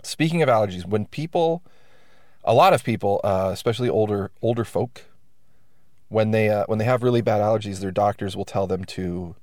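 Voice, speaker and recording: A man with a lowish voice hosts his podcast in a loose, self-deprecating ramble, his nose stuffed up from allergies. He's close on a dry mic with no room sound. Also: a little fast, darkish tone, no echo. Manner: wry, self-deprecating, many restarts and fillers. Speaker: podcast host. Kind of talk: casual rambling monologue.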